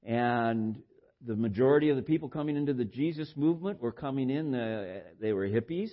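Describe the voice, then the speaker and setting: An older man delivers an informal sermon in a baritone of middling pitch. He speaks conversationally, halting and repeating words as he works through anecdotes and teaching. The sound is a little dull and lo-fi. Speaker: preacher.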